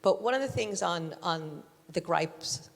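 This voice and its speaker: A woman's voice: fairly low pitch, expressive, measured pace, vocal fry. A woman in the audience making an articulate, chatty comment with frequent asides. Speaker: audience member. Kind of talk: commenting from the floor. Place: large hall. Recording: hall reverb.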